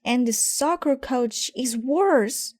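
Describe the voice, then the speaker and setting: A woman's voice: high-pitched, slow pace, darkish tone, voice pushed up. A woman voicing a young, earnest girl bear cub in a storybook, pleading and exclaiming urgently.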